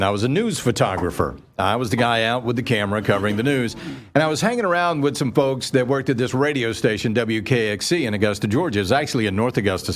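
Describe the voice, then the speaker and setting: A man hosting a morning radio show, talking conversationally and telling anecdotes in a folksy way. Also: medium pitch. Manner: folksy, animated anecdote telling with acted-out dialogue. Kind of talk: conversational live talk radio storytelling. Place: on a stage before a live studio audience.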